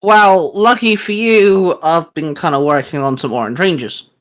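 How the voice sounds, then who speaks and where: A man's voice: a little high, moderate pace, a bit bright. A young man, a guest artist, explaining things in informal, rambling chat.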